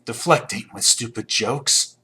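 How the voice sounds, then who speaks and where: A man's voice: medium pitch, measured pace, pushed and bright, slight background noise. A man voicing a proud, scornful hunter in a sci-fi video game, taunting and sardonic with cool menace.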